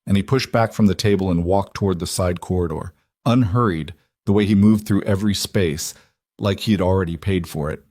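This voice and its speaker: Guy narrating an audio story, low voice, measured n deliberate, really dry close recording. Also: darkish tone, no room sound. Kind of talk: scripted dramatic fiction narration.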